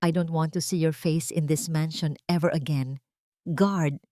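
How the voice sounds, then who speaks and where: A woman's voice: deep voice, steady tone, measured pace, mellow, no room sound. A cold, wealthy man in an audio story, acted out by a woman, stern and cutting. He sounds bitterly disappointed and mixes Tagalog with English. The sound is dry and close. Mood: cold command. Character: cold, angry, disappointed rich man with baritone.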